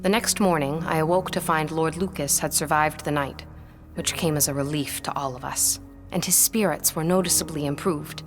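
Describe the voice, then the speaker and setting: A woman playing a composed, sceptical manor employee in a period audio drama, with a measured, formal, restrained manner. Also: sits low, steady tone, over music. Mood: grave recounting.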